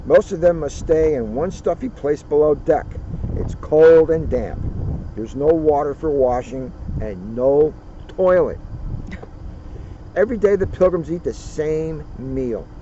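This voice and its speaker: A man reading a children's history book aloud outdoors, with deliberate, dramatic phrasing and casual asides. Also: on the high side, mellow. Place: outdoors at a turkey farm. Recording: muffled outdoor recording, faint vehicle noise.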